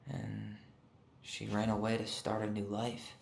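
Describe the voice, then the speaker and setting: A low-voiced pensive young man in a game drama, with a gravelly edge. He is guarded and subdued, speaking naturally and hesitantly in a roomy space. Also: completely flat delivery, unhurried, slight background noise. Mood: somber. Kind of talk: naturalistic game cutscene dialogue.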